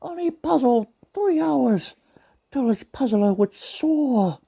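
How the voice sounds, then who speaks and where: A man voicing a gentle, childlike, honey-loving cartoon bear who reads a storybook in a husky, polite, fretting manner. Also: extremely high pitch, lively intonation, takes it slowly, pitched above the performer's own voice, heavily acted. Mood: gentle wonder. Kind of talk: storybook reading as cartoon impression.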